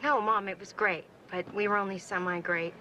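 A woman's voice: medium pitch, fairly even intonation, a little fast, sharp tone, slight background noise, close to an everyday voice, low-quality recording. A young woman playing a restless, pressured teenage daughter in a naturalistic TV drama. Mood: weary, pleading. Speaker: actress.

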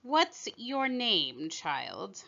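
A woman's voice: mid-range voice, sing-song, drawn-out words, vocal fry, bright, forceful tone, exaggerated voice. A woman voicing a severe, impatient queen in a storybook, haughty and commanding, on a slightly dull recording.

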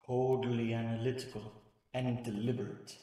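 A man playing a wise, solemn old mutant rat master, narrating gravely and poetically in a comic dub. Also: mid-range voice, little pitch movement, moderate pace, dark tone, slight background noise, natural voice.

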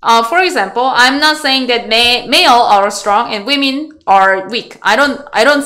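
A Korean woman in her thirties, a Go teacher answering chat questions on a webcam video call, chatty and halting in English with a Korean accent. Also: fairly high pitch, pushed and bright. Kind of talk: live online lecture Q&A. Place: small room indoors.

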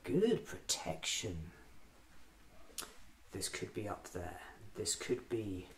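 Eccentric male time traveller acted by a man, ASMR roleplay, playful musing, lively pitch, soft delivery, noisy background. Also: full character voice. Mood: playful, vain. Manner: whimsical, musing aloud, unhurried.

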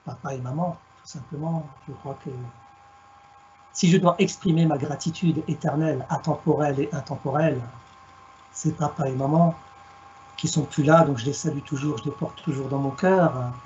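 A man's voice: a little high, fairly even intonation, a little mellow, highs cut off, slight background noise. A middle-aged man from Alsace, giving a reflective storytelling talk over a video call with a headset. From France, Alsace. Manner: measured, reflective, plays on words. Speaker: guest speaker, storyteller. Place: home room on a video call.

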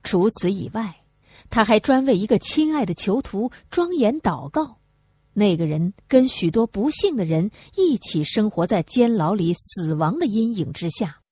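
A woman's voice: mid-range voice, lively intonation, moderate pace, darkish tone, slightly rough, no echo. A woman reading a novel aloud as a scripted audiobook narration.

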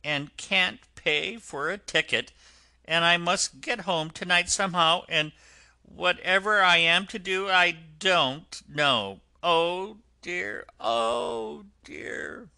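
A man voicing a vain male toad disguised as a washerwoman, wailing and sobbing in a high, self-pitying voice. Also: animated, takes it slowly, gravelly, sharp tone, smooth, heavily acted.

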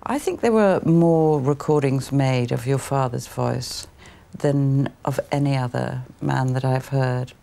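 A woman's voice: very deep voice, unhurried, creaky, few pauses, warm tone. A middle-aged woman interviewing an older man in a living room, enthusiastic and encouraging. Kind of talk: documentary interview.

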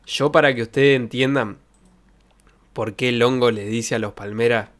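Young man, Santa Fe Argentine accent, mid-pitched voice, casual streamer talk, jokey and colloquial, close desk mic. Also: fairly even intonation, moderate pace. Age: young adult. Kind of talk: casual livestream chat, reminiscing. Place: bedroom streaming setup. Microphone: close desk microphone with pop filter.

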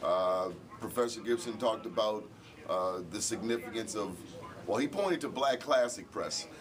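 A man's voice: on the low side, crowd noise. A middle-aged man, an event organizer and radio host, speaking reflectively in an on-camera interview in an indoor hall. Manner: reflective, measured, gestures with hands.

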